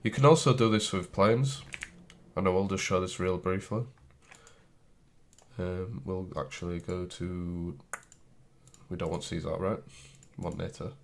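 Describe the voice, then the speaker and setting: A man's voice: deep voice, steady tone, a little slow, gravelly, slight background noise. A man with a cold, sniffling, casually talking through a game tutorial as he clicks and types. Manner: thinks aloud while clicking and typing, loose and chatty. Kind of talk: casual screen-recorded tutorial.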